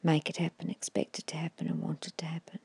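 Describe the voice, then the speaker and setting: A woman giving an ASMR guided hypnosis in a hushed, breathy whisper, slowly and soothingly instructing with long pauses. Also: sits low, completely flat delivery, dry recording. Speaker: hypnotist guiding a meditation.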